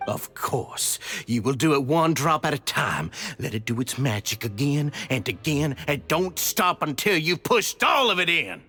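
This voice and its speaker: An actor playing a furious, cruel tyrant of a gang boss in a video game, theatrical and menacing in delivery. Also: male voice, on the high side, animated, measured pace, few pauses, breathy edge, soft background music, exaggerated voice. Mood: cold cruelty. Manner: theatrical, menacing, swinging between hushed threats and shrieking.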